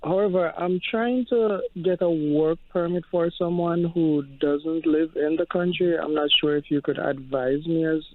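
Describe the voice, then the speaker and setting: Jamaican man calling in, highish voice. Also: steady tone, normal speed, few pauses, no echo. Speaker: caller, business owner asking for advice. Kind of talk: phone call question to a radio show. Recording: dull, phone-like caller line.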